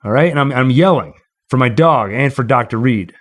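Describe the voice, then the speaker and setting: Animated male livestream host, lively pitch. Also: fairly quick. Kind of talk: casual storytelling and chat to livestream viewers.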